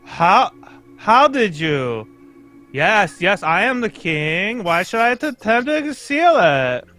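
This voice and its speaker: A haughty royal visitor in an old-time radio play, acted by a man. He speaks in a high, forceful voice, formal and pompous, with halting pauses. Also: very animated, soft background music, full character voice. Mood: imperious, formal.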